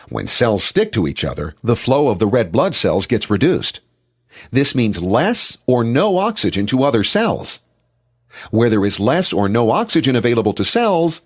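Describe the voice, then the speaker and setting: A man's voice: mid-range voice, breathy edge. A man delivering scripted documentary narration about science and health.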